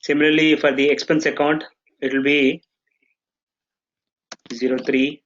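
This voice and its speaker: A man running a software training session, explaining steps and checking in with frequent "okay"s and restarts. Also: on the high side, little pitch movement, a little slow, lo-fi sound, slight background noise. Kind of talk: live online training session.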